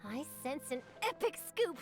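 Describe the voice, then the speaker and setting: A woman's voice: high-pitched, big pitch swings, a little slow, faint music behind. A woman playing an eager, dramatic young female reporter in a video game, with theatrical, emphatic delivery. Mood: determined.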